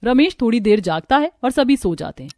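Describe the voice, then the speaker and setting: Higher-pitched woman narrating a cartoon story. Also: very animated, brisk, warm tone.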